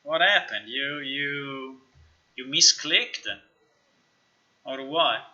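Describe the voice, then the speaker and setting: A man live-streaming as a game developer, chatting casually and haltingly as he thinks aloud while demonstrating software. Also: mid-range voice, highs cut off.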